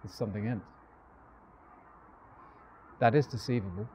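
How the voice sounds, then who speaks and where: A man performing a bewildered, exhilarated young Shakespearean gentleman in love, in a declamatory classical stage monologue. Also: on the low side, natural voice. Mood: reasoning, puzzled. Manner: declamatory, deliberate, marveling. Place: outdoors, park bench. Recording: noisy outdoor recording with passing vehicles.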